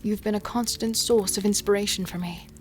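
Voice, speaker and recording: An actress playing a caring, devoted young healer in a dark fantasy audio drama, speaking softly and intimately. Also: female voice, mid-range voice, fairly even intonation, fairly quick, natural voice. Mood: tender, confessional. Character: caring, soft-spoken young female healer, devoted and mysterious. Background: music with rain sounds.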